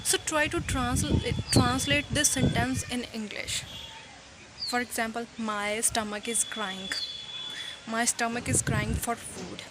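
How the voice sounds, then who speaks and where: A woman whose first language is Punjabi gives a chatty English lesson in a fairly high voice. She keeps checking in with the listener and stops to explain each idiom. Steady background noise runs under the recording. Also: slow. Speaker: English teacher. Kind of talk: casual spoken English lesson.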